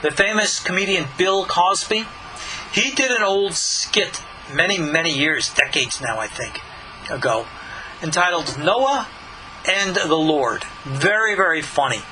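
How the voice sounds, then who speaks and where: A man's voice: sits high, lively intonation, measured pace, low-quality recording. A man, a rabbi, teaching a text in a conversational lecture style, full of rhetorical questions and asides.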